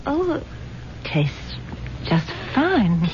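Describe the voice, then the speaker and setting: An actress playing a trusting, genteel wife who is betrayed, speaking in a breathy, halting manner in a 1970s radio mystery. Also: female voice, fairly high pitch, sing-song, exaggerated voice. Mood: fond, trusting. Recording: dull, noisy vintage radio recording.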